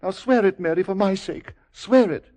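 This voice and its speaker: Actor as a high-voiced, jittery bridegroom. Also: male voice, moderate pace, dark tone, natural voice. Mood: insistent. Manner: hesitant, stammering, urgent. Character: jittery, evasive, pleading bridegroom. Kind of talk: 1940s radio acting.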